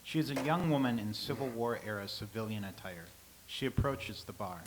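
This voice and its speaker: A man reading the stage directions aloud in an even, matter-of-fact way. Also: fairly low pitch, a little fast, low-quality recording. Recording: faint hiss.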